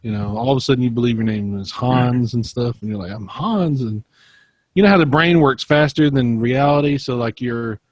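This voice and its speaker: A man with an average-pitched voice chats informally on a podcast panel. He sounds close and dry, with no room around him. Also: normal speed, no room sound. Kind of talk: casual chat.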